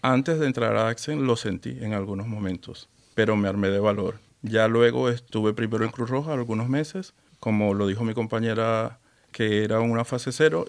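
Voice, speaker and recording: A man from Venezuela, a guest on a panel, sincerely telling his personal story of arriving in a new country. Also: lowish voice.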